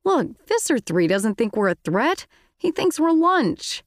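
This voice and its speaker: A woman's voice: high-pitched, sing-song, a little slow, dry recording, clean studio sound, pitched above the performer's own voice. A woman voicing a sarcastic, wisecracking teenage boy in a storybook reading. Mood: mocking. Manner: sarcastic, mocking.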